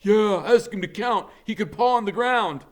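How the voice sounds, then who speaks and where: Cruel kids chanting insults, shrill and loud, a man putting them on. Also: moderate pace, close to an everyday voice. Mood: mocking. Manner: taunting, sing-song chanting. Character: jeering crowd of cruel schoolkids.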